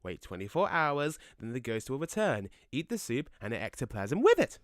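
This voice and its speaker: A man playing a cheeky, excitable ghost in an audio drama, chatty and exclamatory in his delivery. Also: medium pitch, moderate pace, no echo, studio quality, close to an everyday voice. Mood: chatty, advising. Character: Sonny, excitable, cheeky male ghost, matey and mischievous.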